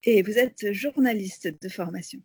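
A woman's voice: medium pitch, normal speed, mellow, breathy edge, no room sound, clean studio sound. A woman co-hosting a radio magazine, presenting information and putting questions as an interviewer. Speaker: radio co-host.

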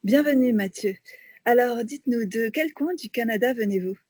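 Husky-voiced female radio co-host. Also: on the high side, moderate pace, dark tone, no room sound. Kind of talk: presenting and interviewing on a radio magazine.

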